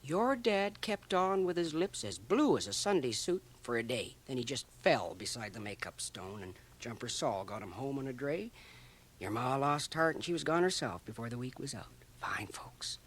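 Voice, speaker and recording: A man's voice: mid-range voice, expressive, normal speed, a bit bright. A man playing a folksy, gossipy small-town barber with a Canadian accent in a radio drama, chuckling and dropping into confidential asides. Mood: earnest, mournful. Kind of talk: radio drama acting, rambling monologue. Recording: 1980 radio drama, home cassette transfer.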